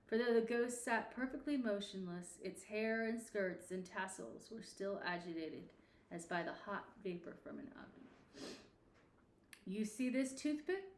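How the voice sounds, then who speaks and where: A woman reading a classic story aloud in expressive, scripted narration. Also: mid-range voice, moderate pace, reverberant, slight background noise. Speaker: audiobook reader.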